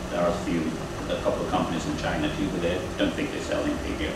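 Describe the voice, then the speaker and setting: A man giving a technical lecture at a training event, explaining in a halting way with frequent pauses. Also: low voice, monotone. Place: lecture room at a university. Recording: echoey room, distant mic, air-conditioning hum. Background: air conditioning hum.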